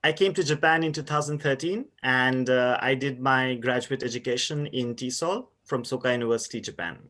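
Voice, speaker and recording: A man from India in his thirties delivers an academic conference talk at a middle pitch. He explains step by step in a measured way, with frequent pauses and fillers. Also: a bit bright. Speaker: university lecturer presenting research. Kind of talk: conference presentation.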